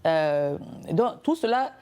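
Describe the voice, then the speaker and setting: Cameroonian woman with a low, mellow voice. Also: big pitch swings, takes it slowly, smooth. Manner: thoughtful, with frequent hesitations and rhetorical questions. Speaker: guest, entrepreneur and author. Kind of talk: conversational interview.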